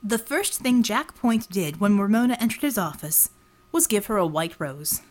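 Woman narrating fiction with lively intonation. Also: mid-range voice, moderate pace. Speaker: audiobook narrator.

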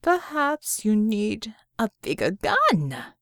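A woman narrator plays a menacing German supervillain. He speaks with a German accent, drawing out his mocking lines with theatrical relish. The pitch swings widely, and the sound is dry and close. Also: huge pitch swings, a bit bright, dry recording, full character voice. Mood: taunting. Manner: mocking, drawn-out theatrical delivery.

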